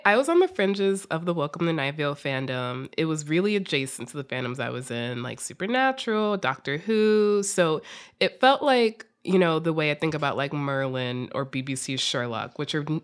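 Low-pitched, very animated woman podcast host. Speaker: podcast host interviewing a guest. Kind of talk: casual conversational interview.

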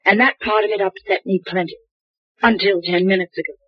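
An actress playing a sharp, guarded young woman in 1940s radio drama style, on an old dry radio recording. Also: medium pitch, fairly even intonation, fairly quick, husky, dry recording, lo-fi sound, lightly acted. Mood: tense.

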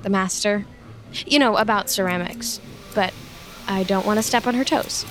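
A woman's voice: medium pitch, normal speed, fairly bright tone, faint music behind, close to an everyday voice. An actress playing an eager, awkward, chatty young woman in an audio drama, both confiding narration and dialogue. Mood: earnest, wistful. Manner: chatty, hesitant, nervously upbeat. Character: eager, awkward, clingy young woman, human best friend of a vampire.

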